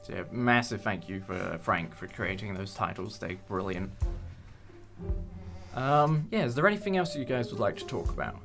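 A man's voice: fairly low pitch, lively intonation, moderate pace, gravelly, over music. A young man hosting a casual behind-the-scenes chat about a production, speaking in a hesitant, appreciative way. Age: young adult. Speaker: host and audio drama producer.

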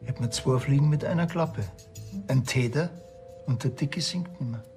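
A man plays a sardonic village policeman in a TV crime comedy. His Bavarian voice is medium in pitch with a lot of fry, and he talks in a dry, laconic way. Soft music sits behind him. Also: moderate pace, natural voice. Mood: dry, scheming.

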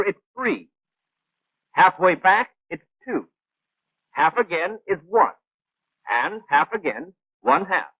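An actor playing a brisk, know-it-all gunnery instructor in a 1940s animated training film, precise, clipped and didactic. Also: male voice, high-pitched, slightly rough, no echo, phone-quality sound, exaggerated voice. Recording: thin, band-limited 1940s film soundtrack.

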